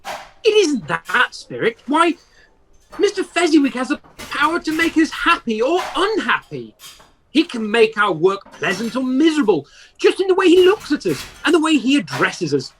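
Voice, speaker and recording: A man's voice: very high voice, wildly swooping pitch, moderate pace, a bit bright, a little hiss or hum, exaggerated voice. A man playing a remorseful old miser, hesitant and pleading, in an amateur radio play. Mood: hesitant, regretful. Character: Ebenezer Scrooge, remorseful, anguished old miser.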